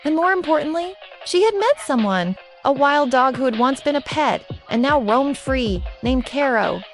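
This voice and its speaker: A woman narrates a scripted storybook tale. Her voice sits a bit high and moves up and down in a lively way, with music playing behind her. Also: moderate pace.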